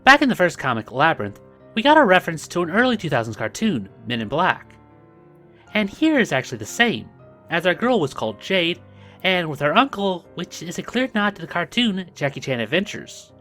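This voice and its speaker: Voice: medium pitch, big pitch swings, brisk, fairly bright tone. A video host narrating a comic recap in a scripted but casual style, with asides along the way. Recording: music behind the voice. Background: background music.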